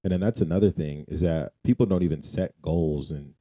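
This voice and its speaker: A man with an extremely low voice and a very dark, mellow tone co-hosts a faith podcast. He speaks informally and casually, repeating phrases for emphasis. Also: a little fast. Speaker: podcast co-host. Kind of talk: casual faith-focused conversation.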